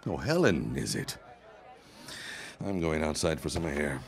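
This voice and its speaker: Gravelly, very low-voiced curt man. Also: sing-song, measured pace, background noise, full character voice. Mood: scornful, curt. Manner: curt, scornful. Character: gruff, jealous businessman at his engagement party. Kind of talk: period audio drama acting.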